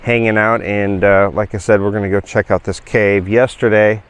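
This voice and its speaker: A man's voice: fairly low pitch, moderate pace, clear, resonant tone. A man of fifty, talking casually and rambling with many fillers as a travel vlogger showing viewers around. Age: 50s. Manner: rambling, relaxed, with frequent fillers and laughs. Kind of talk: casual walk-and-talk vlog.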